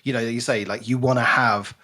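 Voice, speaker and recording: A man's voice: medium pitch, fairly even intonation, brisk, sharp tone. Chatty man hosting an interview. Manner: chatty, wordy, rambling questions. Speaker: podcast host. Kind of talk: conversational interview.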